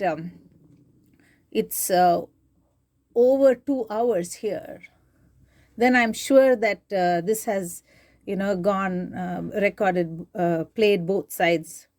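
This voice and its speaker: A woman's voice: mid-range voice, a little mellow. A woman presenting an informal, unscripted how-to demonstration, hesitant and thinking aloud as she goes step by step. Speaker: tutorial presenter demonstrating tape digitizing.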